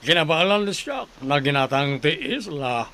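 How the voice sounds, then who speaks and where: A man's voice: a little high, sing-song, a little slow, bright, forceful tone, exaggerated voice. A man with a Filipino accent playing a rich, haughty master in a radio drama. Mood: haughty, threatening. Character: rich, haughty master threatening a poor family. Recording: noisy background hiss.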